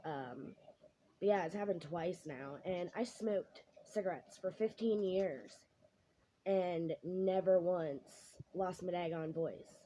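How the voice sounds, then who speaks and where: A woman, hoarse from losing her voice, chatting casually to her viewers in a rambling way. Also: on the low side, takes it slowly, dark tone. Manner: rambling, many ums and self-corrections. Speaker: vlogger. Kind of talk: casual chat to camera. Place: home kitchen while cooking. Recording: dull lo-fi home recording, faint noise.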